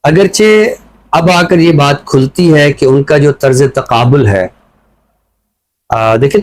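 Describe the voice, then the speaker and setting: A man with a Pakistani accent and a mid-range voice talks as a scholarly commentator. He explains his points in a measured, reflective way while keeping a conversational style. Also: mid-range voice, low-quality recording. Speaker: scholar commentator on a talk show.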